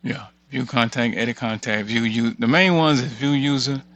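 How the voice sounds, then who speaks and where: A man's voice: medium pitch, fairly even intonation, normal speed, fairly bright tone, no echo, muffled recording. A man hosting an unscripted tutorial, muttering to himself as he reads items off one by one and thinks aloud. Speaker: tutorial host. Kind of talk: unscripted screen-share walkthrough, thinking aloud.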